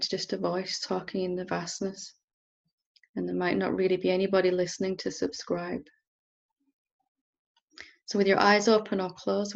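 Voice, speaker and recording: A woman teacher leading a guided meditation in a gentle, unhurried manner with long pauses. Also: medium pitch, creaky, lo-fi sound. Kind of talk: guided meditation, softly instructing.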